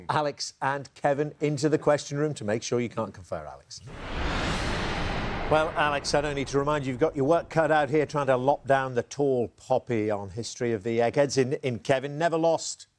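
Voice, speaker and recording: A man's voice: mid-range voice, moderate pace, a crowd in the background. A man hosting a TV quiz show, chatty and teasing as he reads the questions. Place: TV studio.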